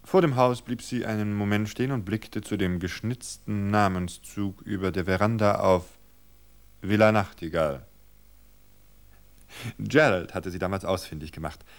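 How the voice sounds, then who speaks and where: A man's voice: lowish voice, moderate pace. A man reading a literary story as a radio narrator, in scripted narration.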